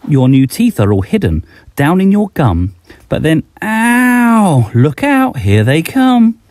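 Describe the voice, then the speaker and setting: A man's voice: on the high side, huge pitch swings, takes it slowly, darkish tone, no room sound. A middle-aged man reading a rhyming bedtime story aloud, expressively, as a children's author addressing young listeners.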